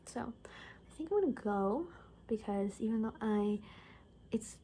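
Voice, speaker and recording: A woman playing a chatty, gossipy friend in an apocalypse ASMR roleplay, soft-spoken and breathy, often slipping into whispers, with casual rambling speech. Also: a little high, animated, unhurried, a little hiss or hum. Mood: conspiratorial, gossipy. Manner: rambling, casual, gossipy, full of filler words.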